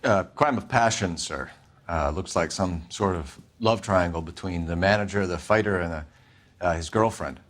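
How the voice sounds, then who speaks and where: An actor playing an earnest, principled police detective and former Marine, speaking in a measured, clipped way. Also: male voice, deep voice, a bit bright. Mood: dutiful. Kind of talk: cinematic game voice acting. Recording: music under the voice.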